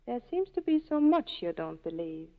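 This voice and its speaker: A slightly high female voice plays a proud, sorrowful wife torn by love. Her delivery is earnest 1940s radio drama, with the muffled tone of an old broadcast. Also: big pitch swings, normal speed. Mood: wounded, regretful. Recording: dull lo-fi vintage radio broadcast.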